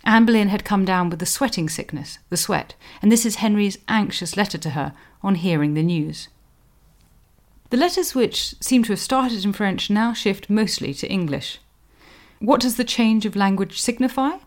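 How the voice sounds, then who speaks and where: Articulate woman historian narrating a podcast. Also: medium pitch. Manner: articulate, scholarly, deliberate emphasis. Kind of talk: scripted explanatory narration.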